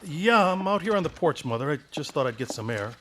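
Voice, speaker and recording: Frustrated young guy, lively higher voice, stammers. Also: brisk, a little hiss or hum. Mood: wry. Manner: stammering when shy, heated when angry. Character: young man, restless and frustrated, proud. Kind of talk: staged radio drama.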